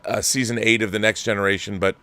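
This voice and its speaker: A man's voice: lowish voice, little pitch movement, quick pace, sharp tone, dry recording. A middle-aged man hosting a commentary show, ranting sarcastically and with exasperation. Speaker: show host.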